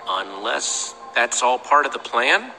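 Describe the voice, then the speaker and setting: Young everyman game hero: very bright, expressive voice, earnest and a bit unsure, light music bed. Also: mid-range voice, takes it slowly, exaggerated voice, rough recording. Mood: wary. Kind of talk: video game voice acting.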